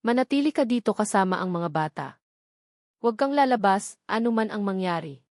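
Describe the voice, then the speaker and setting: A woman's voice: mid-range voice, expressive, fairly quick, darkish tone, dry recording, lightly acted. A woman narrator as resolute, protective man, dry sound. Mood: cold, commanding. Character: protective, resolute wealthy man, tender but stern. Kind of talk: dramatic storybook character reading.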